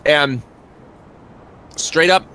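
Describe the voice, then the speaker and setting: A man working as game master, narrating a tabletop roleplay casually with halting, improvised description. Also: on the high side, bright, forceful tone, slight background noise.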